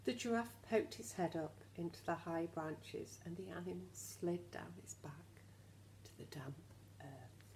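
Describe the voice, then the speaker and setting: A woman reads a picture book to young children in a fairly low voice with a creaky edge. She is expressive and often speaks to the children directly with questions. A faint layer of noise sits behind her. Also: a little slow, poor audio. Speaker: teacher reading a storybook to young children. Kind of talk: expressive children's storybook reading with asides to the listeners.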